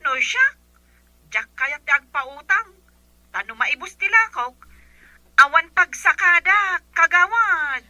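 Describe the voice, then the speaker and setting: A woman's voice: extremely high pitch, lively intonation, takes it slowly, pauses often, bright, forceful tone, no echo, heavily acted, poor audio. A woman playing a wary, stingy village shopkeeper, blunt and questioning, with an Ilocano accent in a comedy drama. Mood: complaining.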